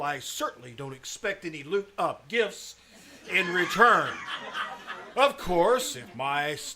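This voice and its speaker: A man's voice: sits high, bright, forceful tone, full character voice. A man playing a pompous, falsely magnanimous school principal, slyly hinting, in 1950s radio comedy style. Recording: live stage, noticeable background noise.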